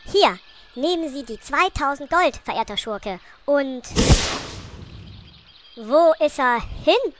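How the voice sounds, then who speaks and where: A woman's voice: high voice, lively intonation, takes it slowly, pauses often, a little hiss or hum. A woman playing a naive, imperious gnome queen in a comedic fantasy radio play, regal and breezily dismissive. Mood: breezy.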